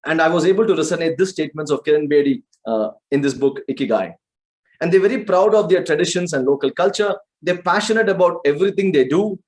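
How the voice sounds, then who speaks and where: A man with an Indian English accent giving a motivational book review in an earnest way, addressing listeners as friends. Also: a little high. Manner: checks in with okay and right. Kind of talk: talk-style book review.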